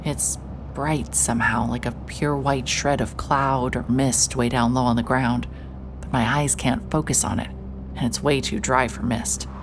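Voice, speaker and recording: A woman's voice: a bass voice, fairly even intonation, unhurried, noisy background. A woman voicing a hard-hearted private investigator, narrating in a dry, hard-boiled inner monologue. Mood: guarded. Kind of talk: noir first-person narration.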